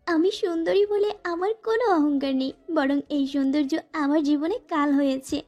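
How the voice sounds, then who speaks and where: A woman's voice: sits high, fairly quick, darkish tone, natural voice. A woman playing a frightened, pleading young student bride in a dramatized first-person romantic audio story. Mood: sorrowful, resigned. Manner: plaintive, pleading.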